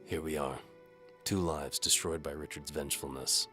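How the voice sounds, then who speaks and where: A man playing a sardonic, world-weary medieval bow for hire, narrating in a wry deadpan as scripted audio drama. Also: rumbling low, moderate pace, music in the background. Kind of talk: first-person scripted narration, hard-boiled audio drama.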